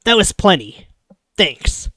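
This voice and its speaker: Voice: mid-range voice, very animated, slow, bright, forceful tone, no room sound, pitched above the performer's own voice, full character voice. A streamer voicing a wary, stubborn, stranded visual novel protagonist who gives brief, understated replies. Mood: guarded.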